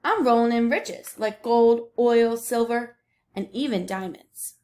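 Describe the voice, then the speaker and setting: A woman's voice: on the high side, unhurried, darkish tone, natural voice. A woman voicing the ocean as a laid-back, boastful surfer dude in a picture book read aloud. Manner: slangy, breezy surfer talk.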